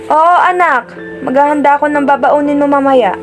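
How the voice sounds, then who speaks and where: A woman's voice: high voice, moderate pace, lightly acted. A woman playing a caring mother with a Filipino accent in a radio drama. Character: gentle mother caring for her child. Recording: music behind the voice.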